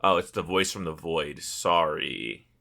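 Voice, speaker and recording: Animated male podcast host, bright voice. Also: rumbling low, measured pace, gravelly. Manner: animated, exclamatory, swears freely. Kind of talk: casual comedic podcast chat.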